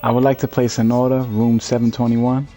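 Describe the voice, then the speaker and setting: An actor playing a calm, protective man looking after a woman on the run in an audio drama, reassuring and low-key. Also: fairly quick, soft background music, close to an everyday voice. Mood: matter-of-fact. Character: calm, protective male companion of a fugitive.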